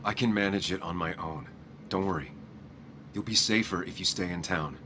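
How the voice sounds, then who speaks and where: An actor playing a guarded, coldly logical investigative journalist in a video game, speaking in a terse, matter-of-fact way. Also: male voice, sits low, moderate pace, background noise, lightly acted. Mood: determined. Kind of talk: naturalistic video game acting.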